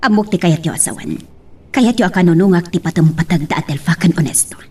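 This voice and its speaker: A woman's voice: lowish voice, quick pace, warm tone, husky, distant mic. A woman playing an earnest, wounded grown daughter in an Ilocano radio love drama.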